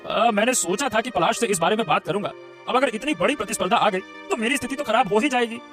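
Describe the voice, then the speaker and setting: A man's voice: sits high, quick pace, fairly bright tone. A man voicing a worried, defensive shopkeeper in a Hindi cartoon, speaking hesitantly. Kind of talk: Hindi moral-story cartoon voice acting. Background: music.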